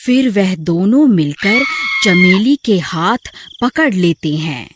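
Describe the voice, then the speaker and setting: A woman's voice: lowish voice, lively intonation, slow pace, noisy background. A woman narrating a scripted horror story.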